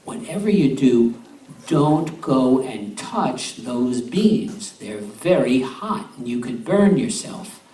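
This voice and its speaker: Gentle old grandmother, as a male storyteller puts her on, echoey room, far mic. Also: mid-range voice, expressive, takes it slowly. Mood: cautioning. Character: kind, cautioning old grandmother. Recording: echoey room, distant mic, faint hum.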